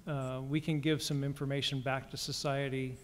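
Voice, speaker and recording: A middle-aged man speaking on a conference panel, relaxed and good-humored, into a stand microphone. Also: medium pitch, moderate pace. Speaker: patient panelist. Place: conference hall stage panel. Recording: long reverb of a conference hall.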